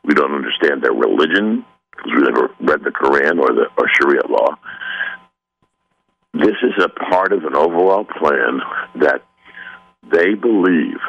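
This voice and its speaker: A man guest with a sore throat, speaking earnestly in a phone-in interview, halting with many restarts. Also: extremely low pitch, creaky, fairly bright tone, dull audio. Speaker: author guest.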